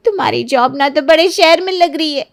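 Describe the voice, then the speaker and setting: Woman acting a very high-pitched whiny young bride. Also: a little fast, gravelly. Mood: upset, complaining. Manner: babyish, dramatic complaining. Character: whiny, self-absorbed young bride-to-be in a cartoon. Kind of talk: Hindi cartoon story acting.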